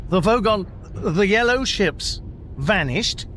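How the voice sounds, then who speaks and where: An actor playing a polite, bewildered English hitchhiker in a radio comedy drama, hesitant, apologetic and stammering. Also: male voice, sits high, expressive, takes it slowly, a bit bright, exaggerated voice. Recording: clear vehicle noise behind. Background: car interior noise.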